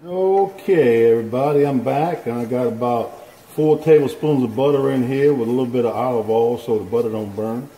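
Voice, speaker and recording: A man's voice: mid-range voice, moderate pace, mellow. A man hosting a home cooking show, talking the viewer through each step in an easygoing, folksy way at his kitchen stove. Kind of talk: casual cooking demonstration. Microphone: camera over the stove, hands in frame. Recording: echoey kitchen, faint pan noise.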